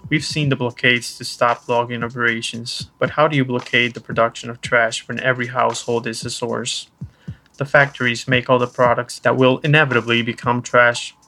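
A man's voice: medium pitch, flat delivery, normal speed, bright, forceful tone, a little hiss or hum. A man reading a scripted essay aloud as a podcast narrator. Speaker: podcast reader.

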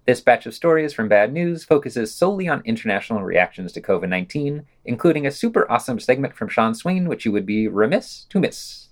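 A man's voice: medium pitch, very animated, a little fast, hardly any pauses. A man reading scripted podcast narration as host, with a wry, opinionated manner.

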